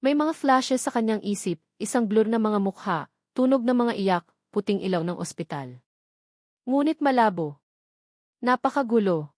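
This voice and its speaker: A woman's voice: fairly high pitch, animated, moderate pace, a little mellow, no room sound, studio quality. A woman narrating a scripted love story in a dramatic storytelling style.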